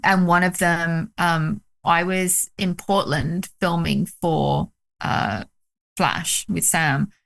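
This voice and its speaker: A woman from the UK, a documentary director, talking excitedly about her film on a video call, with many fillers. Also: fairly low pitch, takes it slowly, creaky. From United Kingdom. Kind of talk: casual interview chat.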